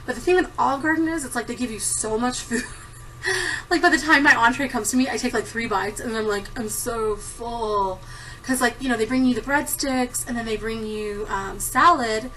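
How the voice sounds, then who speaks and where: A woman's voice: fairly high pitch, moderate pace, pushed and bright, distant mic, a little hiss or hum, rough recording. A woman in her thirties chatting casually to camera, giggling often and rambling with lots of ums. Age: 30s. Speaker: vlogger answering a tag. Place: home room at a desktop computer. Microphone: computer camera mic.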